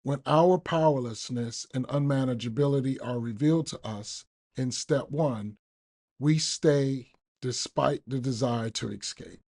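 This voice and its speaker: A man reading a daily reflection aloud for a recovery podcast, with measured, deliberate phrasing. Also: mid-range voice, a little mellow. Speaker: guest reader on a recovery podcast.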